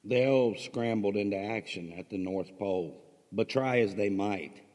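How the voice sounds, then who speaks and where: A man reads a story aloud in a fairly low voice, with long reverb trailing his words. He sounds like a host narrating his own writing. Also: unhurried, darkish tone. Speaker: host reading own stories. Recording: long reverberant hall-like echo.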